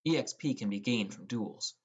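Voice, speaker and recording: A man's voice: fairly low pitch, lively intonation, normal speed, husky, lo-fi sound. A man reading scripted first-person narration as the narrator of an audiobook.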